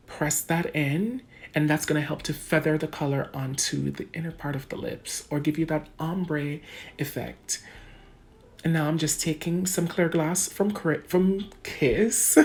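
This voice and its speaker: A woman's voice: sits low, creaky. A woman with a Jamaican accent narrating a makeup tutorial in a chatty, casual way, talking straight to her viewers. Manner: chatty, addresses viewers as guys, laughs often. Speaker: beauty vlogger. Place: home room under bright lights.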